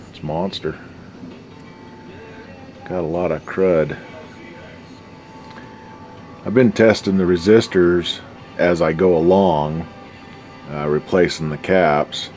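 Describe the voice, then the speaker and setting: A man with an extremely low, deep voice chats casually through a hands-on repair job. His delivery is folksy and slangy, muttered as he works, with faint music somewhere behind him. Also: darkish tone. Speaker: hobbyist radio restorer and video host. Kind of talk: casual unscripted workbench commentary.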